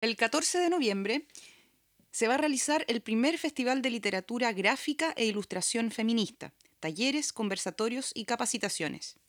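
A woman's voice: on the high side, no room sound, studio quality. A Chilean woman reading scripted announcements as a podcast host. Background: background music.

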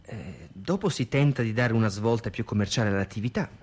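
A man delivering scripted radio narration as a narrator looking back, in a formal, measured manner. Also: fairly low pitch, expressive, husky. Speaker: narrator recalling a theatre's history.

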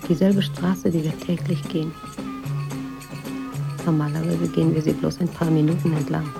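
An actress playing an introspective, quietly troubled woman writer confiding her memories in a radio drama, in a measured, literary manner. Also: sits low, flat delivery, creaky, music in the background.

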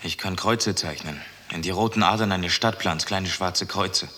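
Anguished young prisoner, low but sharp voice, earnest, defiant, hall reverb, old radio play. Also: male voice, normal speed. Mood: bitter, subdued. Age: young adult. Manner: earnest, tense, questioning. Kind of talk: 1960s German radio drama acting.

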